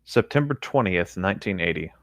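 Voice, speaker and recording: Man, low pitch, no room echo, easygoing, dry humour, casual story reading. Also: animated, fairly quick, a bit bright. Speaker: podcast co-host reading fan fiction. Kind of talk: casual read-aloud with asides.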